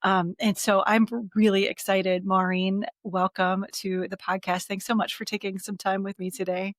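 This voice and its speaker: A woman's voice: medium pitch, level tone, normal speed, no room sound, studio quality. A woman hosting a podcast, chatty and encouraging, drawing out her guest with easy questions. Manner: chatty, encouraging, with fillers and laughs. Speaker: podcast host. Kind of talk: conversational interview.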